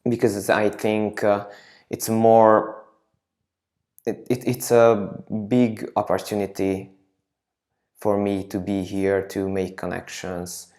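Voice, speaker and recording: A young theater student talks about his ambitions with determination. When he gets eager he stumbles over repeated words. His voice is slightly low. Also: level tone, takes it slowly, darkish tone. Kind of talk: documentary interview answer.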